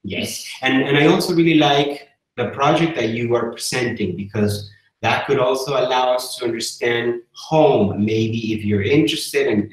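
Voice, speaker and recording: A man hosting an online art residency seminar, speaking thoughtfully with frequent pauses and fillers over a video call. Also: mid-range voice, echoey room, low-quality recording. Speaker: residency program host.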